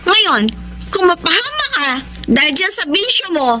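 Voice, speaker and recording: A woman's voice: very high voice, very animated, normal speed, bright, forceful tone, a little hiss or hum, full character voice, low-quality recording. A woman playing an exasperated, jealous live-in girlfriend in an emotional, nagging Tagalog radio drama style. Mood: angry scolding. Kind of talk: Tagalog radio drama acting, with letter narration.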